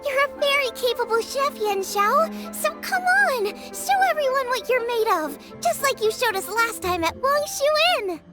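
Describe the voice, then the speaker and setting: A bubbly young chef in a game cutscene. Her very high voice bounces lively up and down, with game music playing behind her. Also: a little slow, few pauses. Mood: cheerful. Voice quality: bright, bubbly and eager. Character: young female chef, upbeat and encouraging. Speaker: chef, contestant.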